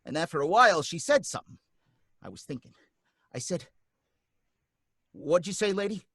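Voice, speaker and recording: A man's voice: fairly high pitch, expressive, normal speed, creaky, a bit bright, husky, no room sound, studio quality, heavily acted. An actor playing a nervous working-class cab driver who narrates his own story, rambling in folksy street talk. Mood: scared. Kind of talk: radio drama acting.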